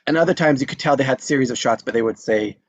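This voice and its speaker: A man's voice: mid-range voice, fast, highs cut off. A man hosting a casual online chat after a table read, talking in a chatty, self-deprecating way. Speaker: host.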